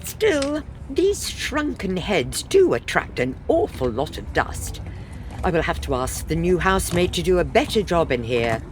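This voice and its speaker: Sensible period wife played by a woman, unhurried, lively. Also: fairly low pitch, slight background noise. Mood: dryly practical. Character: sensible, sturdy middle-aged wife, dryly exasperated. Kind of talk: comic period farce radio acting.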